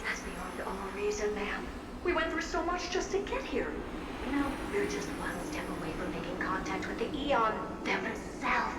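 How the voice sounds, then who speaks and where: An actress voicing a melodramatic, fawning talking mirror, a servant character in a video game, pleading and flattering. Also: female voice, fairly high pitch, roomy sound, far from the mic, very noisy. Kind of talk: scripted video game voice acting.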